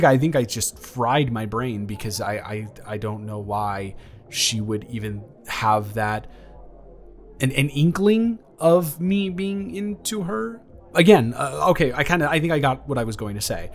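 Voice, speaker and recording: A young man playing a flustered, awkwardly tender ex-boyfriend in an improvised romantic audio roleplay, halting and stammering as he rambles and corrects himself. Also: medium pitch, natural voice. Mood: flustered, apologetic. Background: background music.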